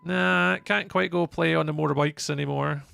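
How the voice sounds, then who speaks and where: Gravelly Scottish man chatting live. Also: fairly high pitch, fairly even intonation, moderate pace, pushed and bright, soft background music. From Scotland. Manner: rambling and chatty, many fillers and restarts. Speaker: live streamer. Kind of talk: casual chat with live chat while gaming.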